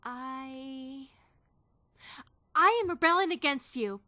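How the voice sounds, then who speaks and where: A woman's voice: high voice, takes it slowly, pushed and bright, dry recording, studio quality, full character voice. A woman voicing an earnest, indignant teenage girl student who is rigidly rule-bound, speaking formally and emphatically in a dramatic visual novel fan dub. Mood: defiant. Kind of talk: dramatic first-person visual novel narration and dialogue.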